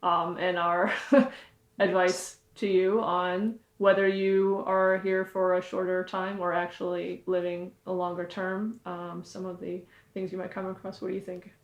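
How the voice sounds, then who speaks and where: A young woman with a fairly low voice co-hosts a travel vlog and talks in a relaxed, chatty way. The room echoes around her. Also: measured pace, dull audio. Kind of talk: casual chat.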